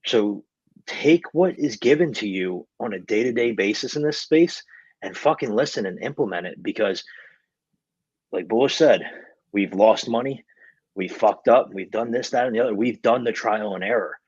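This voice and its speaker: Man, 20s, lowish raspy voice. Blunt, sweary, emphatic motivational talk, muffled lo-fi recording. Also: moderate pace, darkish tone, no echo. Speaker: podcast speaker. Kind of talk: casual motivational rant.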